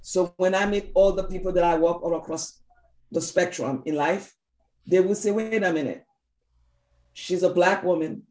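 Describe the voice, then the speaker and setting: A woman's voice: fairly low pitch, normal speed, mellow. A woman who speaks English as a second language, talking earnestly and emphatically as a coach in a casual conversational interview, repeating her key phrases. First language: not English. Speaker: team coach. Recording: roomy echo.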